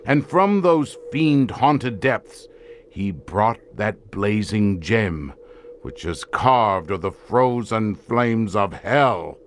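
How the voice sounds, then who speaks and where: Slow, grave desert tribesman storyteller. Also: lowish voice, animated, a little hiss or hum. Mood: dramatic, intense. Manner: archaic, portentous, oratorical. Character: grave desert tribesman elder, solemnly warning of an ancient curse. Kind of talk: theatrical audio drama storytelling.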